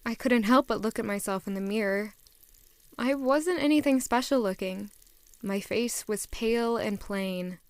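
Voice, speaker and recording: A woman's voice: mid-range voice, darkish tone, dry recording, clean studio sound, natural voice. An actress narrating as a young female castle maid, in an earnest, introspective first-person way. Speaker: narrator and lead character.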